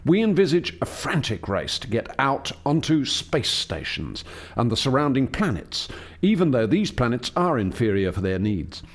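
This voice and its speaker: A man acting a formal, animated lead scientist. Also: mid-range voice. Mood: measured, lecturing. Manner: formal, measured, lecturing. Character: Doctor Foster, authoritative male alien scientist leading a lab. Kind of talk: radio play acting.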